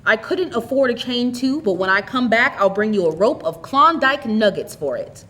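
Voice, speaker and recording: A woman voicing a merry, impulsive, warm-hearted young man, a big brother in a storybook. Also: fairly high pitch, lively intonation, moderate pace.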